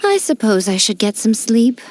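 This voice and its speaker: An actress voicing a sly, elegant fox-spirit shrine priestess in a game, teasing and playfully condescending. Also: female voice, fairly high pitch, sing-song, moderate pace, darkish tone. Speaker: game character. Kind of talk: studio game voice lines.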